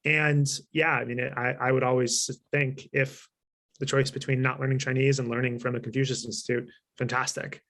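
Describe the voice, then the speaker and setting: Man explaining things with a creaky voice. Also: medium pitch, little pitch movement, a little fast, a bit bright. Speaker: author and consultant speaking as guest. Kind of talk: explanatory interview answers at a virtual talk.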